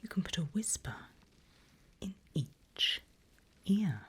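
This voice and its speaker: A woman whispering an ASMR roleplay as a seasoned demon mentor, slow and deliberate, with playfully sly menace, close to the microphone. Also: lowish voice, sing-song, fairly bright tone, slight background noise.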